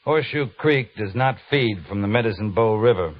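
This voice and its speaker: An actor playing a stern, exacting cavalry captain with a clipped, commanding manner in an old-time radio western. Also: male voice, lowish voice, animated, normal speed. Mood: curt, stern. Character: stern, exacting male cavalry captain, brusque, grudgingly fair. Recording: muffled old radio broadcast, audible hiss.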